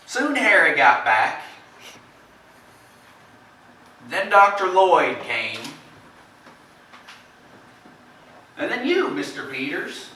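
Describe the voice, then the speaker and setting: Rambling farmer witness for a stage play, male actor: animated pitch, recorded from afar in an echoing space with faint noise. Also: a little slow, far from the mic, exaggerated voice. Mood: hesitant, earnest. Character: talkative, rambling farmer neighbor giving his account. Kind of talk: stage drama acting, recounting events. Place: indoor theater stage, distant microphone.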